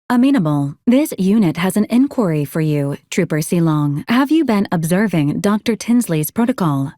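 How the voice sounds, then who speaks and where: A woman voicing a polite, literal-minded robot medical support unit in a video game, with a formal, clinical, deadpan delivery. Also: mid-range voice, no room sound, clean studio sound, close to an everyday voice.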